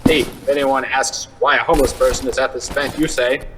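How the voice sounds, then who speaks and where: An actor playing a brash, wisecracking celebrity-news reporter in a glib, cocky manner for a comedic audio drama. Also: male voice, mid-range voice, fairly even intonation, fairly quick, big-room sound, very noisy, natural voice. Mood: exasperated. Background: music.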